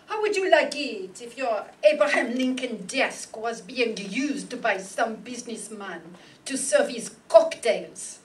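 Older actress as a haughty woman, higher voice, slow, indignant, radio play, distant with background noise. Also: lively intonation. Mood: disdainful. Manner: formal, deliberate, disdainful. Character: haughty young French woman, indignant suspect. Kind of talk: old-time radio acting before an audience. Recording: live stage pickup, distant, background noise.

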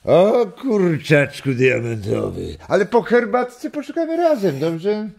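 A man playing a jovial, doting old grandfather in a children's audio drama, with chuckles and drawn-out exclamations. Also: high voice, very animated, vocal fry, darkish tone, no room sound, exaggerated voice. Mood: jolly.

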